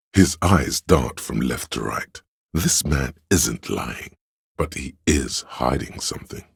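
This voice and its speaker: A scheming, theatrical adviser inside a video game character's head. The voice is extremely low, thick with gravel and very husky. Phrasing is courtly, archaic and deliberate, with a grandiose temper. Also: male voice, dry recording, studio quality, exaggerated voice. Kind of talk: theatrical second-person game narration.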